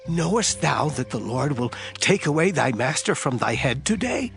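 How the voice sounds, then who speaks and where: A man's voice: a little high, very animated, normal speed, gravelly, a bit bright, faint music behind, exaggerated voice. A man playing a portentous son of the prophets in a dramatized Bible. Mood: portentous questioning.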